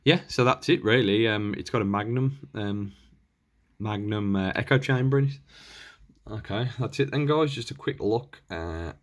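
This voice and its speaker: A man's voice: fairly low pitch, normal speed. A man giving a casual, unscripted hands-on equipment demonstration as a hobbyist, hesitant and rambling as he thinks aloud. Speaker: hobbyist presenter reviewing radio equipment.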